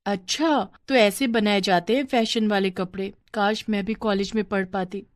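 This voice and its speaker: A woman's voice: medium pitch, fairly even intonation, moderate pace, dry recording, clean studio sound, lightly acted. A woman voicing a poor, humble young woman in a story, sweet and wistful.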